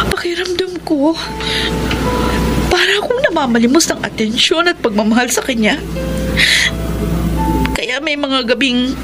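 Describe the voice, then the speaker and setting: High-voiced troubled young Filipina wife played by an actress. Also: female voice, lightly acted, low-quality recording. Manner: hesitant, plaintive, emotional. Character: unhappy young married saleslady, torn and tearful. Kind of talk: Filipino radio drama acting. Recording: radio drama with loud music bed.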